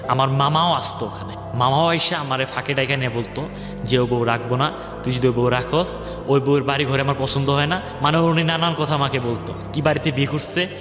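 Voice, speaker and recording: A young man telling his life story on a radio show, rambling and acting out what others said. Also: medium pitch. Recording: echoey hall-like reverb, music underneath. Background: background music.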